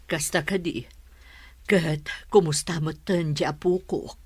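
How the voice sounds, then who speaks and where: A woman with an Ilocano accent playing a meddling, sharp-tongued mother of a grown son in a drama. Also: low-pitched, steady tone, a little slow, breathy edge, no room sound. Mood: nagging. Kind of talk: Ilocano comedy drama voice acting.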